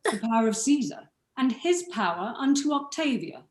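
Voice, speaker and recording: A proud and guarded ruler acted by a woman in a Shakespearean radio drama. The voice is fairly high and the delivery formal and measured. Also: very animated, reverberant. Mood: cool, wary. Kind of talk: live radio play acting in Shakespearean verse.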